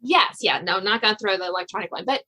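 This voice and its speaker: A woman's voice: medium pitch, fast talker, bright, forceful tone. A woman hosting a podcast interview, talking in a chatty, laughing way with many fillers. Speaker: podcast host. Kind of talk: casual interview chat.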